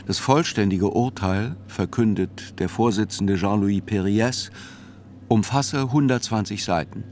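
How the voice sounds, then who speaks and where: A man's voice: fairly low pitch, unhurried, darkish tone, slight background noise, lightly acted. An actor playing a sober, reflective male reporter who narrates a radio drama in literary reportage style.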